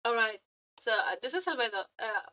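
A woman streamer giving casual live reactions, excitable, scattered and exclamatory, with some swearing. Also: fairly high pitch, fairly quick. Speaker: streamer reacting to game trailers. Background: background music.

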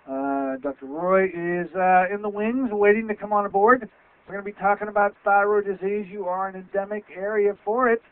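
Older male radio doctor-host, Western PA accent, loud and chatty, headset mic, thin phone-like audio. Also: sits high, fairly even intonation, normal speed, clean tone, no room sound. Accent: Western Pennsylvania. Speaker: radio show host, physician. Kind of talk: casual radio talk. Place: small office with framed certificates.

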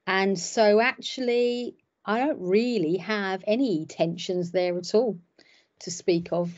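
A woman's voice: medium pitch, slow pace, darkish tone. A middle-aged woman whose first language was Cypriot Greek, chatting in a self-deprecating way with lots of fillers, recorded at home over a laptop webcam. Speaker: ordinand and hairdresser. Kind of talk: casual interview on a video call. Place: home room by a window. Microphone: laptop webcam on a video call. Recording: dull lo-fi video call audio.

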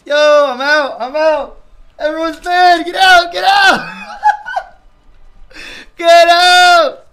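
A young man streaming a game from his home setup, shouting and swearing in panic. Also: very high voice, steady tone, slow. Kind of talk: frantic gaming stream chat.

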